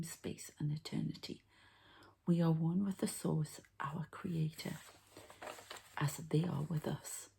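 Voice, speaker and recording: Slow, low whispering ASMR woman. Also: warm tone. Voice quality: breathy whispering. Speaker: ASMR host and energy healer. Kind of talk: ASMR, soft whispered healing session.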